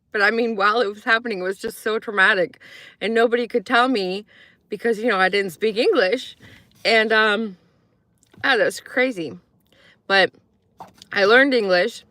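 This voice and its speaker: A woman's voice: mid-range voice, bright, forceful tone, dry recording. Chatty woman telling stories, dry close mic. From Croatia. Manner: chatty, rambling with many fillers, acts out quoted voices. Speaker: live streamer. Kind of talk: casual storytelling to a live chat.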